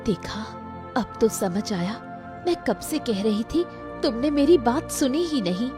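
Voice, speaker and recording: A woman's voice: fairly high pitch, very animated, measured pace, over music. A woman voicing a supportive village sister-in-law with a grudge in a Hindi cartoon, emphatic and encouraging. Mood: accusing. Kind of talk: Hindi cartoon story dialogue.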